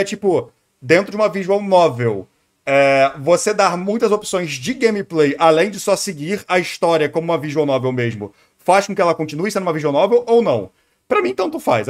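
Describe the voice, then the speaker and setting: A man with a Brazilian accent giving casual, slangy, argumentative reaction commentary as a streamer. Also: high voice.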